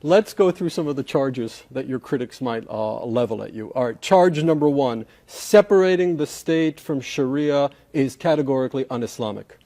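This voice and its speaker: A man's voice: mid-range voice, animated, normal speed, few pauses, dark tone. A man hosting a formal interview as a professor, asking articulate questions and humming along as he listens.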